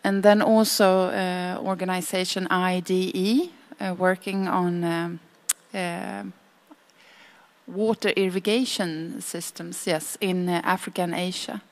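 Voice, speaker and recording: A woman giving an impromptu roundtable readout, halting with frequent pauses between short phrases. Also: on the low side, fairly even intonation. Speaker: group spokesperson reporting back.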